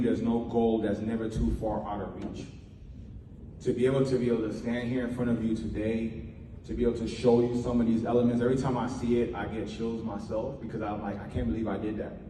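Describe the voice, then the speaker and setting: A man's voice: on the low side, warm tone, echoey room, long reverb tail, far from the mic, a little hiss or hum, low-quality recording. A man from Trinidad giving an earnest, emphatic motivational talk at a media workshop. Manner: earnest, emphatic, with repeated phrases.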